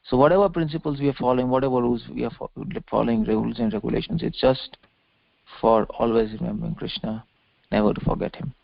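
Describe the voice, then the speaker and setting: A male preacher lectures on scripture in a gravelly, creaky voice. He explains things didactically and repeats key phrases for emphasis. The sound is dull and muffled, like a lo-fi conference call, with very little room echo. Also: medium pitch, fast. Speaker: religious preacher giving a lecture.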